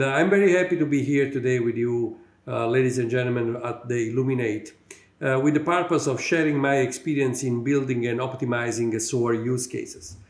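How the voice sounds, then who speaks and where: A middle-aged man from Italy with an Italian accent, a company executive giving a prepared conference talk, halting with many fillers. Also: medium pitch, echoey room.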